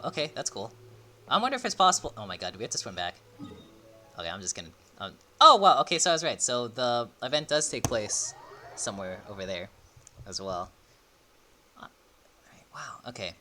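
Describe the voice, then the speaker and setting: Male streamer, casual thinking-aloud commentary; bright, mid-pitched, very animated. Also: fairly quick, pauses often, soft background music. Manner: rambling, excitable, thinking aloud. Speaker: livestreamer playing a game.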